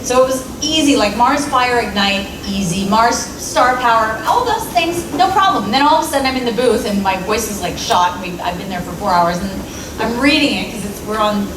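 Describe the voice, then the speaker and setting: Animated woman in an echoey hall. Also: a little high, brisk. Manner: animated storytelling, acting out her old reactions. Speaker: voice actor on a convention panel. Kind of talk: casual panel chat. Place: convention hall. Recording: echoey hall from far off, noisy.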